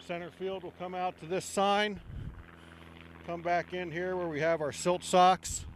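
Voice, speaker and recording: A middle-aged man giving a relaxed walking tour of an outdoor construction site, speaking into a handheld microphone with many ums. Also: sits high, measured pace, a little hiss or hum, rough recording.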